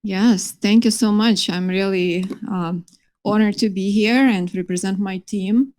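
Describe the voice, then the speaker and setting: A woman's voice: mid-range voice, unhurried, a little mellow, no echo, studio quality. A middle-aged woman from Lithuania, giving an earnest academic conference talk with many fillers and restarts. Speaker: conference presenter. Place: conference hall.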